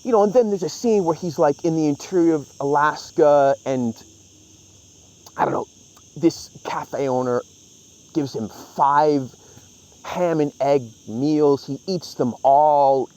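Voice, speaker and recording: A man's voice: on the high side, measured pace, a little mellow, muffled recording. A man giving a casual, opinionated book review, talking in a hesitant, conversational way as he thinks aloud.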